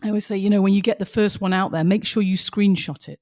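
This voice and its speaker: A woman's voice: medium pitch, steady tone, fast talker, darkish tone. A woman co-hosting a podcast, telling stories in a passionate, emphatic way, her voice slightly strained as if she is losing it. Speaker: podcast co-host. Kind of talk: casual podcast chat with stories.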